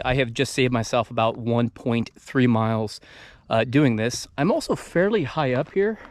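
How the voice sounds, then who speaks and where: An American man in his thirties talking casually to camera on a hike, halting and thinking aloud as he moves. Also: mid-range voice. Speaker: vlogger. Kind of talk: casual talk to camera while hiking. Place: outdoors in open desert backcountry. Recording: outdoor noise on handheld camera.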